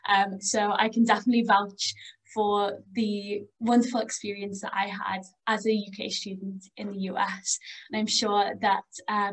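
A woman's voice: medium pitch, rough recording. A young woman from England with a Liverpool accent, hosting an online webinar as an education advisor over a wired earphone mic, friendly and conversational with frequent ums. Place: home room on a video call.

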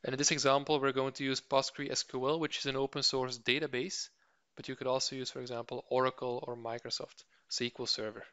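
Even-toned male course instructor, dry lo-fi. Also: medium pitch, a little fast, clean tone, dry recording. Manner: steady explanatory delivery with occasional fillers. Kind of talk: slide-based course introduction. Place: voice-over for slides.

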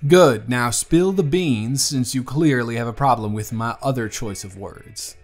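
A man's voice: medium pitch, unhurried, lightly acted. A man playing a teasing, affectionate young bartender with a Southern US accent and a folksy manner, in a romantic audio roleplay. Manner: folksy, playful, affectionate drawl. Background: music.

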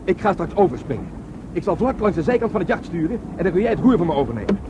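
Daring cop, high voice, quick, radio play, boat noise behind. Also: male voice. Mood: eager, confident. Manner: eager, decisive, animated. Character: bold, reckless male policeman at sea. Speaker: radio drama actor. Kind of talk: Dutch radio drama dialogue. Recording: noisy radio drama with boat sounds.

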